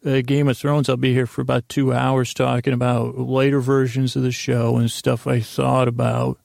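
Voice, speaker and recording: A man hosting a sleep podcast, talking in a creaky, meandering, self-deprecating monologue full of tangents. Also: mid-range voice, little pitch movement, moderate pace, a little mellow, dry recording, studio quality. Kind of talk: rambling monologue.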